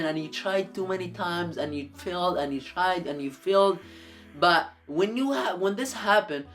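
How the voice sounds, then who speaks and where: A man whose first language is Arabic, giving earnest motivational advice to camera in a YouTube video, often repeating and restarting his words. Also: sits high.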